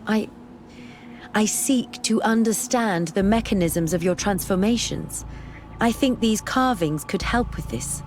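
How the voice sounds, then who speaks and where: A woman's voice: mid-range voice, unhurried, a little mellow, slight background noise, natural voice. An actress playing an earnest, composed female scholar in a video game, polite and sincere. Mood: earnest, curious. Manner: polite, clear-headed, sincere. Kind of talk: scripted video game acting.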